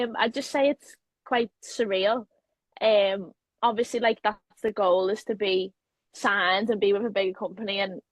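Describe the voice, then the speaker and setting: A young woman with a Liverpool accent answers an interviewer in a chatty style, filling her talk with casual phrases. Her voice sits at an ordinary pitch, and the recording is dry. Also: no echo. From England. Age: young adult. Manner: chatty, with frequent filler phrases. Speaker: wrestler, guest.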